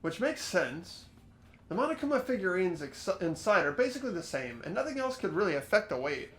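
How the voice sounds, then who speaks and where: A man's voice: sits high, sing-song, fairly quick, fairly bright tone. A man playing an earnest, mild-mannered teenage schoolboy in a game voice-over, hesitant and questioning. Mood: earnest reasoning. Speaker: amateur voice actor. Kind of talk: fan voice-over of a visual novel game. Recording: game music under the voice.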